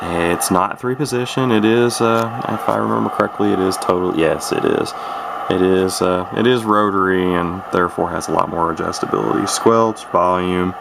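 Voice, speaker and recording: A man with a deep, fry-laden voice talks casually through a piece of his collection. He rambles, hesitates and doubles back on words. The amateur recording has clear background noise. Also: steady tone, normal speed. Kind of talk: casual product demonstration. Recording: noisy amateur camera recording.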